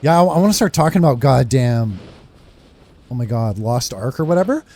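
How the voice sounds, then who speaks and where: A man's voice: mid-range voice, lively intonation, a little fast, warm tone. A man giving casual, rambling playthrough commentary as a game streamer, informal in his wording. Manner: rambling, informal, mild swearing.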